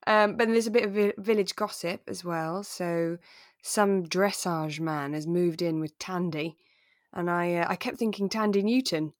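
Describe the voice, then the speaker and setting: A woman with a lowish voice chats informally as a podcast co-host. She is recorded very dry, with no room around her. Her talk is relaxed, conversational and readily amused. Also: moderate pace, dry recording. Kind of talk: relaxed chat recapping a radio drama.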